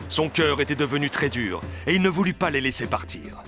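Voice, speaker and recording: A man's voice: a little high, lively intonation, brisk, bright, forceful tone, soft background music. A man narrating a scripted Bible story as its storyteller.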